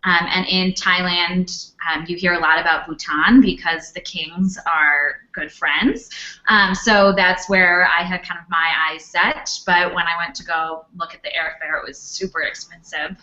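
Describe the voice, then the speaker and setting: A woman's voice: on the low side, steady tone, unhurried, pushed and bright. A young woman speaking casually on a Q&A panel, chatty with lots of fillers. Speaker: panelist, former English teacher. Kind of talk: Q&A panel talk. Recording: dull lo-fi recording.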